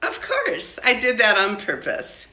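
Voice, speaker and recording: A woman voicing a warm, plain-spoken immigrant mother in a storybook, with questioning, Yiddish-flavored phrasing. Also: on the high side, wildly swooping pitch, unhurried, roomy sound, voice pushed up, heavily acted. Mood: pleased.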